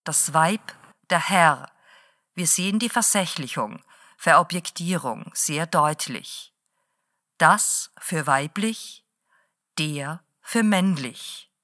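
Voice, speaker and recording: A woman playing a late-night radio presenter who lectures in a slow, deliberate and didactic way. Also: low voice, lively intonation, pushed and bright, dry recording, studio quality, exaggerated voice. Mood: lecturing.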